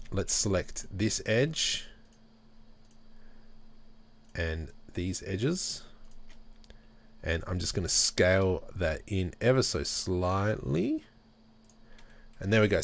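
A man hosting a screen-recorded software tutorial, thinking aloud in a relaxed way while he works at his computer. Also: low voice. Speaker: tutorial host.

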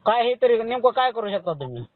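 A man with a high voice and a Maharashtrian Marathi accent speaks over a thin telephone line. His manner is insistent and questioning, as he presses the other party in conversation. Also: big pitch swings, fast, dry recording. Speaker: call recipient. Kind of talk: tense phone conversation. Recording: narrowband phone call recording.

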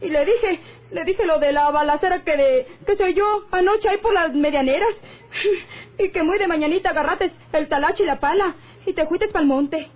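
An actress playing an aggrieved, sharp-tongued peasant wife with a rural northern Mexican accent and plaintive folksy speech in an old radio drama. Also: female voice, very high-pitched, normal speed. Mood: tearful, indignant. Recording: tinny, band-limited old radio serial.

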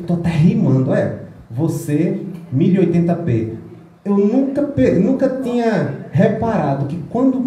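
A man speaks to a small audience in a casual, playful way, wandering off into asides. His voice is dark and mellow and a little high for a man. It was picked up by a phone in a small meeting room that rings with strong echo. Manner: chatty, rambling, with restarts and playful asides. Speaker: presenter of a music video. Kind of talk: casual public talk. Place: small indoor meeting room with projector screen. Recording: very echoey room.

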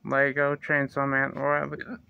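A young man casually reviewing a toy figure in his bedroom, talking slowly and haltingly with fillers and restarts, close to a phone or webcam. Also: mid-range voice, completely flat delivery, vocal fry, clean tone, muffled recording. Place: small bedroom indoors. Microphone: phone or webcam close to the face.

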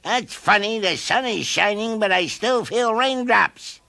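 A man's voice: unhurried, gravelly, sharp tone, no room sound, heavily acted. A man doing a piping, kindly caterpillar. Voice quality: high, piping voice. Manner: chatty, kindly, fussy. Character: fuzzy golden caterpillar, kindly old fellow.

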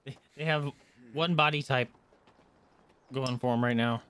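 A man's voice: mid-range voice, steady tone, a little slow, fairly bright tone. A man casually reacting to a video game as he streams it, irreverent, joking and slangy.

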